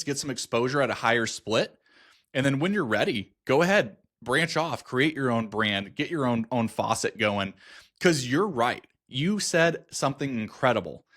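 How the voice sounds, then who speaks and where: A man's voice: mid-range voice, fairly bright tone. Male podcast host, encouraging, chatty. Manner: encouraging, talks through points at length with restarts. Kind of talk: interview podcast.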